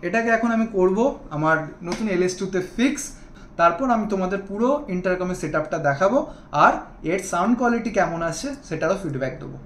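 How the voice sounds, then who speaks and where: High-voiced, fast-talking man, echoey room. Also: fairly bright tone. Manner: explanatory, addresses viewers directly. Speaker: motovlogger reviewing gear. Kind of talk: casual hands-on product demonstration to viewers. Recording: echoey room, faint background noise.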